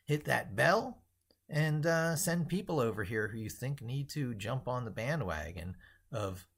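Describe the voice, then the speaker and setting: A man hosting a casual tech livestream, thinking aloud in a rambling, emphatic way with a gravelly, husky voice. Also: medium pitch. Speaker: livestream host.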